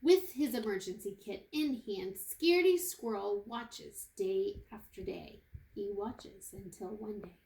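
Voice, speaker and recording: A woman reading a children's storybook aloud like a kindergarten teacher, with expressive, deliberate phrasing for young listeners. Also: medium pitch. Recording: echoey room with faint noise.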